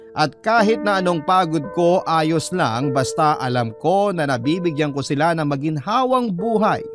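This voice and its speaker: A man's voice: a little high, natural voice. A man with a Filipino accent playing a troubled seafarer son who narrates his story earnestly for a radio drama. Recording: music bed behind the voice.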